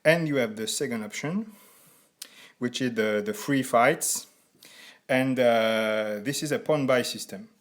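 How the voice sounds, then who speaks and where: A man in his forties with a French accent, haltingly explaining a board game in non-native English as a reviewer. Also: medium pitch. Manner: halting, deliberately slow speech for learners.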